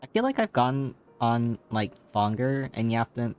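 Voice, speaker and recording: A man streaming live, chatting casually with a slightly raspy, tired voice and rambling, filler-heavy talk. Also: mid-range voice, sing-song, measured pace, darkish tone. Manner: rambling, many fillers, laughs often.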